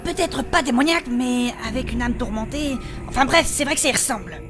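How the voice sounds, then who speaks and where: A woman plays a level-headed, inquisitive adventurer in a comic fantasy audio drama. Her voice is on the high side and her manner is brisk and practical, over prominent music. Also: natural voice. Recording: music behind the voice.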